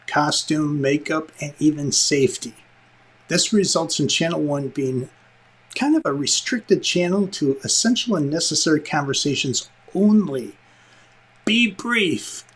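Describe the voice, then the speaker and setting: A man's voice: fairly high pitch, fairly bright tone, breathy edge. A man presenting an instructional lesson to viewers in a conversational, emphatic way, like a director explaining the basics.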